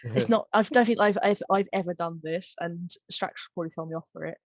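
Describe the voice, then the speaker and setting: A woman's voice: on the low side, a little fast, darkish tone. A woman hosting a casual podcast about making audio drama, chatting in an effusive, informal, giggly way.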